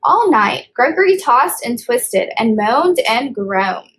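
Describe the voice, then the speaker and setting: A woman's voice: a little high, very animated, slow pace, a bit bright. A woman hosting storytime as a children's librarian, reading aloud and explaining things in simple phrasing for young children.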